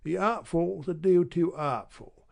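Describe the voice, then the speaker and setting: A man's voice: high-pitched, a little slow, creaky, a little mellow. A man voicing a surly, bitter housebreaker who growls and demands. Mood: demanding. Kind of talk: audiobook character reading.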